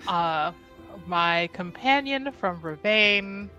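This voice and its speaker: A woman's voice: medium pitch, expressive, slow, bright, forceful tone, muffled recording, faint music behind. A woman playing a tabletop roleplaying game, giggly, speaking both as narrator and as her character. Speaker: player.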